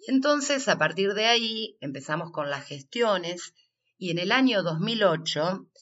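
Argentine woman interviewee, bright, forward voice, unhurried and halting explanations, slightly muffled recording. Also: medium pitch, lively intonation. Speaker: workshop coordinator being interviewed. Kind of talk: unscripted interview answers.

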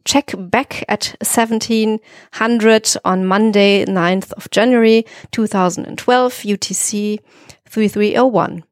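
A woman's voice: medium pitch, steady tone, takes it slowly, no echo, clean studio sound. A woman co-hosting a podcast, explaining things conversationally and in careful detail, step by step. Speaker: podcast co-host.

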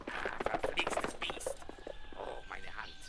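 A man playing an excitable, distressed stable lad in a 1970s German radio play. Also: slow, lightly acted. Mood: panicked, pained. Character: panicking stable lad Arthur losing control, hurt. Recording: crackly noisy 1970s vinyl rip.

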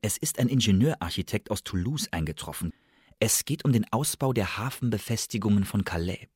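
An actor playing a guarded secret agent posing as a student, giving measured, formal first-person reports in a radio drama. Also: male voice, fairly low pitch, lively intonation, husky, dry recording, clean studio sound. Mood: businesslike. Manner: measured, formal, precise, deliberate phrasing.

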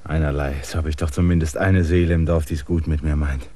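An actor in a German radio drama playing a restless, stubborn outsider with a theatrical, intense delivery. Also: male voice, a bass voice, fairly even intonation, a little fast, warm tone. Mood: wry, tender. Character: restless, stubborn outsider man, anxiously waiting, bitterly proud.

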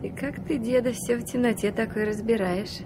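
An old, clearly noisy radio drama recording with a woman playing a young deckhand granddaughter. She talks informally and pertly, with spirit. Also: on the high side, steady tone, normal speed, close to an everyday voice. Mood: curious. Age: young adult. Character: spirited young granddaughter helping aboard a river boat. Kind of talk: Soviet radio play acting.

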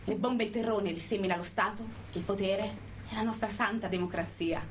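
A woman's voice: mid-range voice, fairly even intonation, a little fast, a little hiss or hum, natural voice. An actress playing a proud, angry imprisoned activist in her thirties, declaiming a political theatre monologue with rhetorical emphasis. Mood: bitter, resolute. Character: defiant jailed activist woman of thirty-three, proud and angry.

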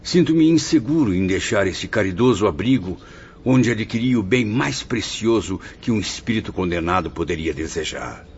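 An actor playing a humble, repentant spirit in a radio drama, earnest and wistful, with a Brazilian accent. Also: male voice, on the low side, lively intonation, moderate pace. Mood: anxious, grateful. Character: anxious, humble male spirit of a repentant suicide. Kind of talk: scripted radio drama acting. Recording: muffled audio with faint noise.

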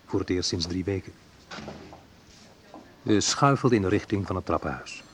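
An actor playing a wistful man recounting his youth in a reflective, measured radio play narration, with a slightly husky voice. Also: low voice, slight background noise, close to an everyday voice. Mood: subdued. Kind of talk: literary first-person radio play narration.

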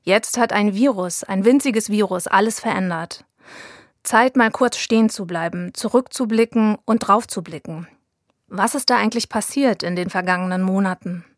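A woman reporter giving scripted documentary narration in a calm, even, storytelling way. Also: mid-range voice, normal speed, no echo, clean studio sound. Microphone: studio mic.

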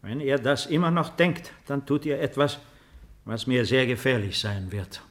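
A male actor plays a weary old monarch in vintage radio drama. He speaks at a medium pitch, formally and deliberately, with a composed, commanding manner. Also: natural voice. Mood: resigned, authoritative. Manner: formal, measured, authoritative. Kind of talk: 1950s German radio drama acting.

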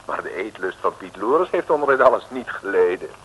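A man's voice: sits low, very animated, fairly quick, fairly bright tone, slight background noise, rough recording. Wry male narrator of an old radio serial. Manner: playful, wordplay-loving, conversational asides.

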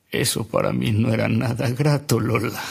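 An actor playing a frail, remorseful old man who narrates his past in a radio drama, slowly and reflectively, with a husky, gravelly voice. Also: mid-range voice, heavily acted. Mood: reflective, weary. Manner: slow, reflective, with coughs and pauses.

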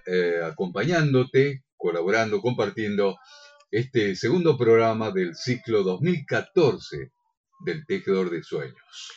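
Low male voice, argentine radio co-host, swoopy intonation, polite announcer style, bit muffled. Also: husky. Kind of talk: live radio show chat and announcements. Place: radio studio.

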